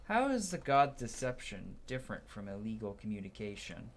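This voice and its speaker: A man's voice: on the low side, very animated, moderate pace, slight background noise. A man doing a playthrough, reading game dialogue aloud dramatically and stumbling now and then before restarting lines. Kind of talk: gameplay commentary with dramatic read-aloud dialogue.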